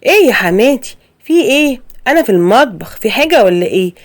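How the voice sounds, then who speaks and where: A woman with an Egyptian accent voicing a gentle, eager young wife, raising her voice higher. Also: sing-song, fast. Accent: Egyptian Arabic. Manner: eager, higher-pitched, anxious.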